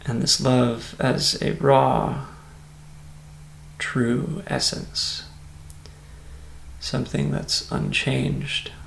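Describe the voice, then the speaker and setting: A man leads a guided meditation in a very creaky, gravelly voice. He speaks softly and very slowly, soothing and close to a whisper at times. The voice sits in a roomy, echoing space. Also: medium pitch, slight background noise. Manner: soft-spoken, breathy near-whisper at times, calm and soothing.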